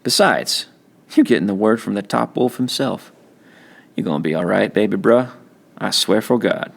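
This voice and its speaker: A man's voice: lowish voice, expressive, normal speed, gravelly. A man voicing a cocky, streetwise teenage older brother with blunt, slangy talk in a novel reading. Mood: firm, encouraging.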